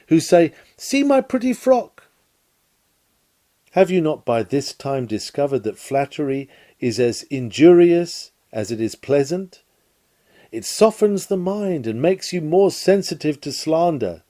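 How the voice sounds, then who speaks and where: A man reading a scripted nineteenth-century sermon lecture aloud, with measured, emphatic oratorical phrasing and formal diction. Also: a little high, mellow.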